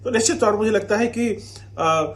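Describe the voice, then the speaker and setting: Man, high pitch, news interviewee, spoken opinion. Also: brisk, fairly bright tone.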